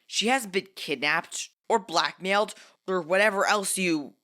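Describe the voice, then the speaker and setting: A woman's voice: medium pitch, a little slow, sharp tone. A woman voicing a hurt, demanding teenage boy gang member, indignant and challenging, in a dramatised fanfiction reading.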